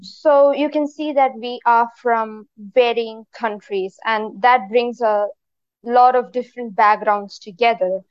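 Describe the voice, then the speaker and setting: A young woman from India opening a student podcast in a measured, unhurried way. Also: fairly high pitch, steady tone, no echo, highs cut off. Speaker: podcast host. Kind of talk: podcast introduction.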